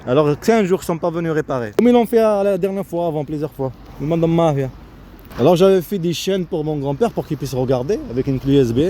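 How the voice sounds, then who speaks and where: A man giving a casual, rambling vlog commentary in a halting, repetitive way, often breaking off his own sentences. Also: fairly high pitch, expressive, mellow. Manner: halting, repetitive, self-interrupting. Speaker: vlogger. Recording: noisy outdoor recording with vehicle sounds.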